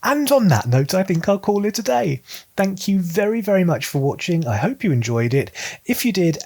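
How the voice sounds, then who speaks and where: A man talking casually to viewers as a book vlogger, articulate and measured in his phrasing, with dry, self-deprecating humour. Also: a little high, a little mellow, slightly rough.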